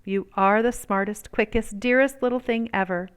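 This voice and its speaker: A woman voicing a gentle, wise old grandmother from a storybook, speaking in a tender, reassuring and emphatic way. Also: medium pitch, steady tone, moderate pace, clear, resonant tone, lightly acted.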